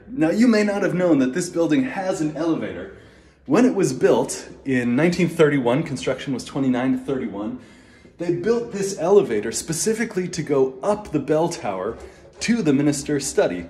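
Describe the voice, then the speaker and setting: Man in his thirties, minister, slightly high voice, relaxed walking tour, phone at arm's length. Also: normal speed. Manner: chatty, relaxed tour-guide talk while walking. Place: old stone church building, indoors and on a roof. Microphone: phone held at arm's length.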